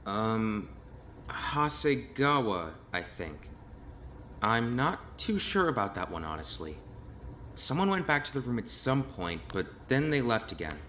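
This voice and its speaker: An actor playing an uneasy, blunt young man investigating a murder in an animated mystery game, hesitant and easily agitated. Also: mid-range voice, unhurried, a little hiss or hum.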